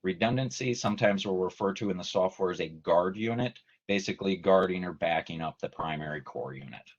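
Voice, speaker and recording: Man, deep voice, technical trainer, measured webinar explaining, dull lo-fi call sound. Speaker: technical trainer, company presenter. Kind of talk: explanatory webinar presentation, semi-scripted. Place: online video meeting. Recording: dull lo-fi video call audio.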